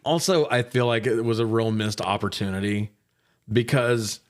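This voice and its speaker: Guy with a lowish voice just talking movies, slangy and sweary, lots of opinions. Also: moderate pace. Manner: profane, slangy. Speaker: podcast co-host reviewing films. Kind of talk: casual, opinionated film-review chat.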